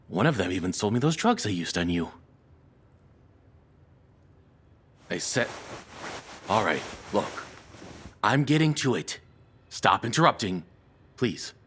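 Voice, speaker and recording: A man playing a nervous, lovestruck kidnapper in an audio roleplay, apologetic and obsessive, speaking in halting, rambling stammers. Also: lowish voice, big pitch swings, a bit bright, slight background noise. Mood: defensive, halting. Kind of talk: intimate dramatic audio roleplay monologue.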